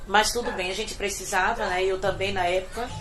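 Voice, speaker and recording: A middle-aged woman from Bahia, a domestic worker, giving an earnest, reflective personal testimony that often trails off quietly. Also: mid-range voice, steady tone, brisk, noisy background. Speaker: domestic worker telling her story.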